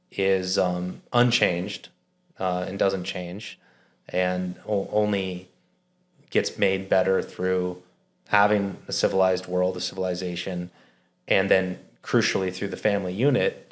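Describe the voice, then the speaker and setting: A man from Minnesota, a guest in a conversational interview, who talks in an earnest, reflective way. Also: low voice. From Minnesota, United States.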